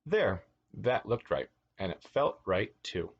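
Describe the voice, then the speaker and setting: A man's voice: lowish voice, slow, husky, highs cut off. A man reading a children's storybook aloud as a teacher, expressively, with asides to his young listeners. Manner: expressive, acts out words and exclamations.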